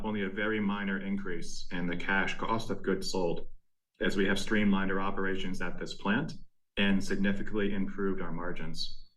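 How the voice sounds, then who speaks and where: A man speaking as a company executive, delivering a prepared earnings presentation in a measured, formal way. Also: low-pitched, fairly bright tone, poor audio. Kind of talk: prepared corporate presentation, scripted narration.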